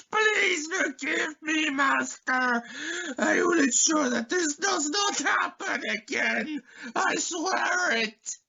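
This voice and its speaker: An actor playing a fawning, anxious assassin who serves a cult, with theatrical servile pleading and a strained raspy voice, in an amateur radio play. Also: male voice, very high-pitched, pushed and bright, lo-fi sound, heavily acted. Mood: desperate pleading.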